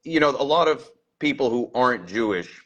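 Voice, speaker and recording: A man's voice: medium pitch, expressive, moderate pace, fairly bright tone. A man hosting a casual livestream interview, chatty and full of fillers, often repeating his words. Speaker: livestream host.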